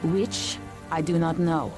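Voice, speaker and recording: An actress playing a cool, businesslike Russian spy with clipped, formal delivery in a video game. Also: female voice, low-pitched, steady tone, slow, over music.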